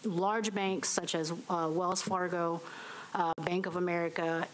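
Halting woman testifying at a podium. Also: fairly low pitch, slight background noise, poor audio. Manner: halting, many fillers and restarts. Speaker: business owner giving public testimony. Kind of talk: public hearing testimony. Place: municipal hearing room. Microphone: podium microphone.

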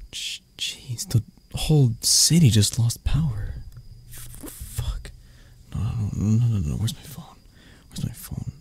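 Man acting a gravelly, grumpy CEO boss. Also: medium pitch, a little mellow, full character voice. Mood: panicky. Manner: curt, sardonic, stammering when flustered. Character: arrogant, grumpy male CEO, secretly anxious and vulnerable. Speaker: voice actor. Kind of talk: ASMR roleplay audio drama.

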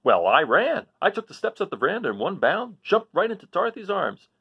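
Guy doing a boastful farm dog telling a storybook tale, super animated and folksy, bit muffled. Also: sits high, brisk, slightly rough, voice pushed up, exaggerated voice. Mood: breathless alarm. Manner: folksy, dramatic, emphatic. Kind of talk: animated storybook read-aloud. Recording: dull lo-fi device microphone.

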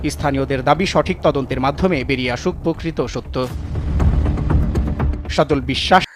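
A man's voice: medium pitch, moderate pace, music in the background. A man reading a scripted news report as a reporter.

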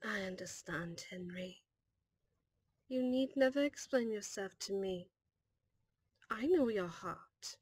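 Kindly older housemaid voiced by a woman, slow and husky, exclamatory, fondly teasing, for an ASMR roleplay. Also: mid-range voice, animated, a little mellow, no room sound. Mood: warm. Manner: gushing, motherly teasing, exclamatory. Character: kindly, fussy older female household servant to a lord.

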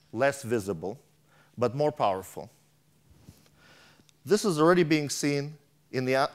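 Man reading a keynote speech on stage. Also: mid-range voice. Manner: reads from a tablet with frequent restarts and stumbles. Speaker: keynote speaker. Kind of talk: reading a prepared conference speech. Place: large conference hall stage. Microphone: lapel mic.

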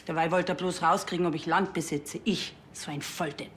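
A woman playing an indignant, lowish-voiced shopkeeper. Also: fairly even intonation, a little fast, a little hiss or hum, lightly acted. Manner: indignant, colloquial. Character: indignant, self-mocking female shopkeeper. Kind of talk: TV crime comedy acting.

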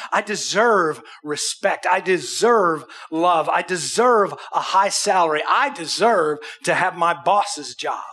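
American guy in his 60s preaching on stage, kinda high voice, chatty but punchy, asks lots of questions. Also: pushed and bright, breathy edge. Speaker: pastor. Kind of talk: conversational sermon with acted examples. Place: church auditorium stage.

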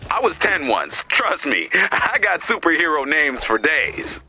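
Laconic, reluctant soldier hero for a game: slow, forceful, wry, slight background noise. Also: male voice, on the high side, huge pitch swings, exaggerated voice. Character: weary, self-sacrificing male superhero soldier.

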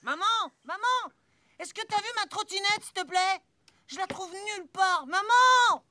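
High-pitched cocky cartoon boy. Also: lively intonation, unhurried, bright, forceful tone, full character voice. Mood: whiny, anxious. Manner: boastful, teasing. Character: cocky, excitable young boy on a scooter. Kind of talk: French cartoon dub acting. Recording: music behind the voice.

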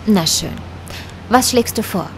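A woman's voice: mid-range voice, lively intonation, slow pace. Woman acting a disapproving young heroine, earnest, mid-range voice, sci-fi radio play, slight background noise. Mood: sceptical, serious. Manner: serious, reproachful. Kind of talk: science fiction audio drama acting.

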